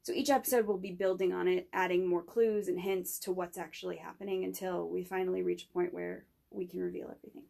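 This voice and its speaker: A young adult woman, a student guest, giving an informal pitch in loose, tentative phrases. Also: fairly even intonation, fast, vocal fry, hardly any pauses, mellow. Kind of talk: informal spoken pitch in an interview.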